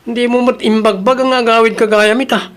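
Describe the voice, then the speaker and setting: A man's voice: extremely high pitch, flat delivery, a little fast, a bit bright. A man acting a controlling, insecure husband in a drama. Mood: defensive. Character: controlling, insecure husband pleading about his marriage. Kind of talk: Ilocano radio-style drama acting.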